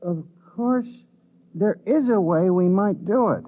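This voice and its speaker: Mousy bookkeeper: high, furtive, hesitant, prissy, on tinny old radio. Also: male voice, slow pace. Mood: hesitant, eager. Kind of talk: 1950s radio drama acting.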